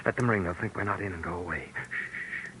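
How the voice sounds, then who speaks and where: An actor playing a frightened young husband suspected of murder, in 1940s radio drama style, stammering and pleading. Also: male voice, deep voice, fast, pushed and bright, slight background noise. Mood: tense.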